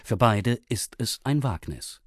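A man's voice: mid-range voice, lively intonation, unhurried, slightly rough, no echo, clean studio sound. A man reading a scripted, factual story recap as a radio announcer, formal and precise. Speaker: announcer summarising the story so far.

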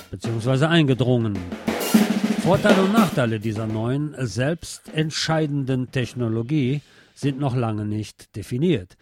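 Male radio host, mid-pitched, news commentary and song announcements, wry delivery, music underneath. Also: a little mellow.

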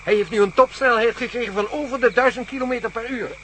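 An actor playing an analytical male professor in a radio play, precise but halting when he explains. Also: very high-pitched, steady tone, pushed and bright, slight background noise. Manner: precise, hesitant with "eh".

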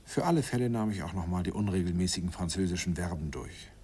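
A man's voice: sits low, expressive, quick pace, warm tone, slight background noise. An actor playing a middle-aged man who wistfully and self-mockingly recalls his youth in a radio play. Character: man in his forties recalling his student days. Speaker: narrator looking back on his youth. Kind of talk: radio drama narration.

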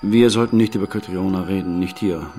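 An actor plays a male police inspector in a radio drama. His voice is fairly low, measured and firm, with a protective, reassuring manner and faint music behind it. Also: warm tone, close to an everyday voice. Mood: calm, firm.

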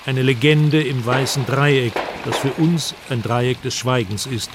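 An actor playing an investigative reporter who narrates a jungle search in a measured, literary way for a radio drama. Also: male voice, mid-range voice, noisy background, natural voice. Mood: measured, reflective. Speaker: first-person narrator of a radio documentary drama.

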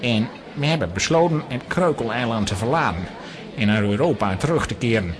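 A man's voice: medium pitch, lively intonation, a little fast, crowd noise, lightly acted. A man playing a worried settler doctor who explains earnestly in a children's audio play. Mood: earnest, troubled. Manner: earnest, expository storytelling.

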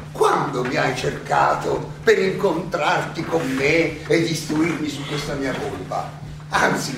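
A male stage actor playing an elderly, ironic and indignant Athenian philosopher who argues his own defense in a theatrical, insistently questioning monologue. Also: high-pitched. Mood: ironic, reasoning. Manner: rhetorical, halting then insistent, questioning. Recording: echoey, distant, noisy live stage recording.

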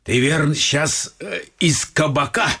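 An actor playing a puzzled gentleman in a theatrical radio comedy, speaking in a gravelly, husky voice. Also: a little high, unhurried, full character voice. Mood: probing. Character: puzzled gentleman questioning a servant, says "brother" often.

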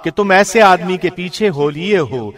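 A man reading a scripture translation as a scripted narrator, in a formal, measured radio presenter's manner. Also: sits high, expressive, fairly bright tone.

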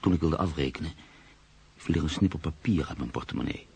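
A man playing a proud, brooding newspaper writer in a radio drama, with measured, literary delivery. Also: a bass voice, darkish tone, heavily acted. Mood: reflective. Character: proud, brooding male newspaper writer, sceptical and easily unsettled. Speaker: actor. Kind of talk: radio drama acting, monologue and narration. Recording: radio play with clear background noise.

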